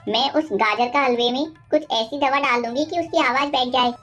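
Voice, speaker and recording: Cartoon villain mother-in-law, played by a woman: middle-aged, very high voice, bossy, scolding, scheming. Faint music underneath. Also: normal speed, close to an everyday voice. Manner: bossy, scolding, name-calling. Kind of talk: animated moral-story dialogue.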